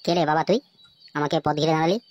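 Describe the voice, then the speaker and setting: Man playing a rapid-fire, crude cartoon father. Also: a little high, steady tone, close to an everyday voice. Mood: curious, surprised. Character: short-tempered, scolding village father of a small boy. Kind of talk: comic cartoon dialogue.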